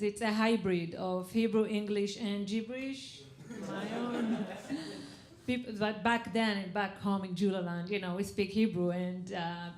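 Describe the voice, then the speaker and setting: A woman born in Israel doing a stand-up set, chatty and laughing at her own jokes on stage. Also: mid-range voice. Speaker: comedian. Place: stage before a live audience. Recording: live show with crowd noise.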